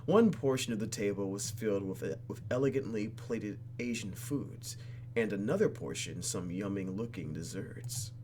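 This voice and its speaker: A man's voice: on the low side, expressive, normal speed, mellow, a little hiss or hum. A man doing playful, exclamatory gameplay commentary as he reads a visual novel aloud, with faint music behind him. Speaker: let's play host.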